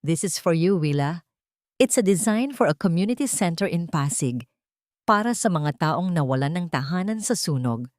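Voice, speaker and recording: A woman with a Filipino accent voicing a remorseful, devoted, gentle male architect in a narrated romantic story. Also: on the low side, expressive, normal speed, no echo, studio quality, close to an everyday voice. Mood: tender, hopeful.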